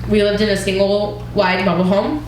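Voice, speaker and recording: A woman's voice: mid-range voice, little pitch movement, a little fast. Woman, earnest conversational testimony, filler ums, very roomy church hall, light background noise. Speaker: women's group leader. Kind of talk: personal testimony talk. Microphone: wireless mic that cuts out.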